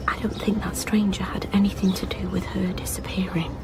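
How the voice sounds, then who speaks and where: An actress playing an anxious, grieving best friend of a missing woman, halting and pleading in a naturalistic radio drama style. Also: medium pitch, flat delivery, in a whisper, music in the background, natural voice. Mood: insistent.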